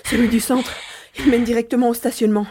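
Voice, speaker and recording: A woman's voice: fairly high pitch, fairly even intonation, quick pace, warm tone, close to an everyday voice. A woman playing a brave young boy on the run in an audio drama, urgently telling what happens. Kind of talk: dramatised audio serial acting.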